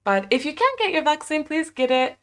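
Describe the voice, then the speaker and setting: A young woman in her twenties chatting casually on a home livestream, reading viewer comments, full of "like" fillers and quick laughs. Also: sits high, big pitch swings, fairly bright tone. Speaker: livestream host. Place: small bedroom at home.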